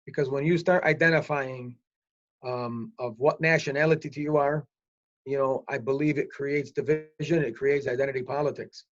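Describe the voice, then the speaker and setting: An older man speaking forcefully in a panel discussion, repeating himself to press his point. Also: fairly even intonation, moderate pace. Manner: forceful, repetitive opinionated talk. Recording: muffled low-fidelity recording.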